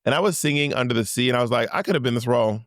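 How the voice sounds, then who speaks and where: A man's voice: medium pitch, rapid-fire, no room sound, studio quality. A man co-hosting a podcast, teasing and blunt in casual banter. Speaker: podcast co-host.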